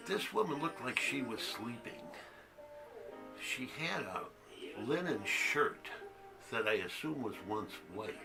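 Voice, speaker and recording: A man's voice: mid-range voice, lively intonation, takes it slowly, gravelly, over music, low-quality recording. An actor playing a crude, haunted man who halts and confides as he tells a story in a stage monologue. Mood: hushed, reverent. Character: crude, haunted man recounting a boyhood secret. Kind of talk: one-act monologue, storytelling.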